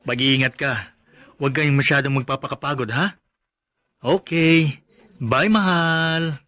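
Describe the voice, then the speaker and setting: A man's voice: medium pitch, measured pace, sharp tone. A Filipino man playing an earnest, worried husband in a radio drama. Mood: caring, casual. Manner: earnest, concerned. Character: worried, protective young husband caught between wife and aunt.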